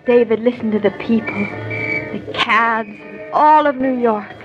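An actress playing a tender, anxious young wife in a 1940s radio drama. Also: female voice, a little high, measured pace, close to an everyday voice. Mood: wistful, eager. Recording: dull 1940s radio recording, music behind.

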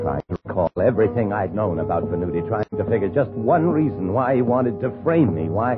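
An actor playing an exhausted, desperate man framed for murder in a 1950s radio drama, halting and pleading. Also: low-pitched, close to an everyday voice. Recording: muffled old radio broadcast with music.

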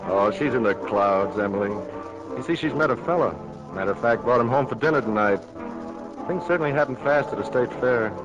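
Music sits behind a dull, old-radio recording of an actor as a bashful young farm man. His mid-pitched voice is sincere and hesitant, in 1940s drama style. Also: lively intonation, fairly quick, close to an everyday voice. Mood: smitten, hopeful. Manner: hesitant, plain-spoken, sincere.

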